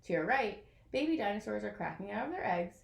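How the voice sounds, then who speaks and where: A woman reading a children's picture book aloud in an expressive way, as if to young listeners. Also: mid-range voice, fast talker, vocal fry, reverberant. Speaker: storybook reader.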